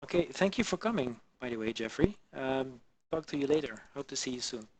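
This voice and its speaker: A man from Belgium chatting casually with his live-stream viewers on a headset mic while modelling, halting and full of fillers. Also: mid-range voice, lively intonation. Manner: halting, many fillers, answers chat viewers. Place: home in the Alpine region.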